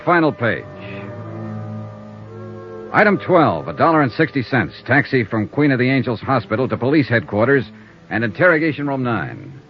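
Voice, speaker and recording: An actor playing a terse, hard-boiled insurance investigator who narrates his case in an old radio detective show. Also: mid-range voice. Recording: dull old radio broadcast with faint music.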